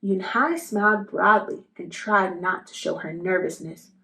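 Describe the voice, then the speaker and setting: A woman narrating a children's storybook aloud in an expressive style. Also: medium pitch, a little slow, poor audio. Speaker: storybook reader.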